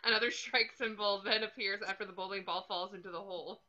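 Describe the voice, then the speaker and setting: A woman's voice: mid-range voice, steady tone, quick pace, bright, forceful tone. A woman narrator reading stage directions from a script, recorded with slightly dull, lo-fi sound.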